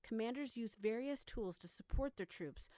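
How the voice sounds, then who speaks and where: A woman's voice: fairly high pitch, animated, moderate pace, warm tone, no echo, studio quality. A woman narrating a scripted promotional video for a game in the manner of a trailer voice-over.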